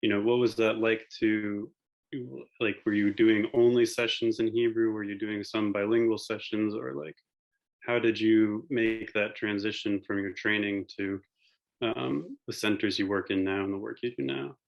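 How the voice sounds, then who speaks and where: A man's voice: lowish voice, fairly even intonation, normal speed, vocal fry. A man asking questions in a casual group discussion in a tentative, hedging way, on dull lo-fi audio.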